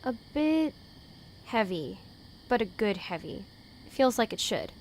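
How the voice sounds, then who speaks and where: A woman playing an eager, naive young wasteland traveler in an audio drama, speaking in an earnest, excitable, inquisitive way. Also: mid-range voice, slow pace, a little hiss or hum. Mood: tentative.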